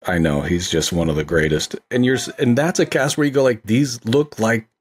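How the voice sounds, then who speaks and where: A man chatting casually about films as a podcast guest, telling stories in an animated way and acting out quotes. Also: lowish voice, fairly quick, husky. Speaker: podcast guest, film director. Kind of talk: casual film discussion chat.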